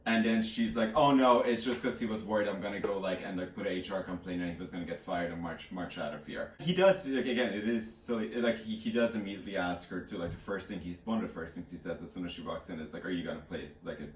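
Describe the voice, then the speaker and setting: A man's voice: sits low, fairly even intonation, fast talker, few pauses. A young man giving a casual, rambling recap as co-host, slangy and amused, peppering it with "like". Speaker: book podcast co-host. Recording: echoey room, distant from microphone.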